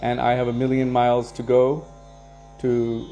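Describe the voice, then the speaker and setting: Relaxed man telling devotional stories. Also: medium pitch, a little slow, lo-fi sound, faint music behind. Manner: relaxed, anecdotal, chuckles now and then. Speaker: kirtan leader and devotional teacher. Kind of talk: casual devotional talk answering viewer questions.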